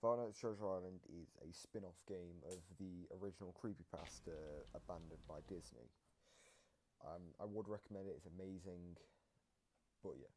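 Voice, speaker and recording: Low-voiced man rambling through gaming commentary. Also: steady tone, moderate pace, darkish tone. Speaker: video host. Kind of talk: casual gaming analysis commentary. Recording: noisy home microphone recording.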